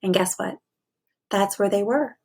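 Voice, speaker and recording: A woman's voice: medium pitch, unhurried, a little mellow, breathy edge. A woman teacher reading aloud and chatting casually to young children in an encouraging way. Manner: encouraging, asks the listeners questions.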